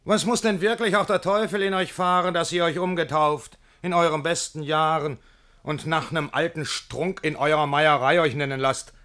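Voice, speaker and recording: An actor playing a courteous, gently mocking friend speaking rhymed verse politely. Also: male voice, fairly high pitch, fairly even intonation, normal speed, natural voice. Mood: wry. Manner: polite, wry. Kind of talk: classical verse comedy acting.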